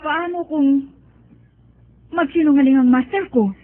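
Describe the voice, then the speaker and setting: Woman acting an anxious, high-voiced runaway maid. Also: measured pace, dry recording. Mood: pleading. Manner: anxious, pleading. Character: desperate runaway Filipina migrant maid, pleading. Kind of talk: radio drama acting. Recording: thin phone-like radio sound, music behind.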